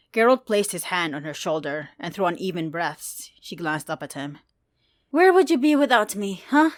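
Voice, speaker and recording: A woman reading scripted fantasy fan fiction as a narrator, in a measured, dramatic storytelling manner. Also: lowish voice, a bit bright, dry recording, clean studio sound.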